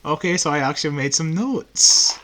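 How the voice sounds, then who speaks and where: A man's voice: a little high, expressive, normal speed, sharp tone, rough recording. A man co-hosting a podcast, chatting casually and recapping plots informally with a dry, teasing manner. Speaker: podcast co-host.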